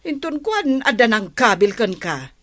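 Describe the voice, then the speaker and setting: A woman's voice: a little high, sing-song, a little slow, fairly bright tone, no echo, full character voice. A woman with an Ilocano accent playing a nagging, plain-spoken village wife in a radio comedy drama. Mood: scolding.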